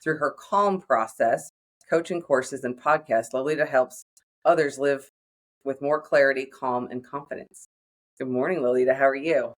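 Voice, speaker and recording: A woman's voice: extremely low pitch, normal speed. A woman hosting a podcast, reading a scripted guest intro and asking questions. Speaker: podcast host. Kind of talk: podcast interview.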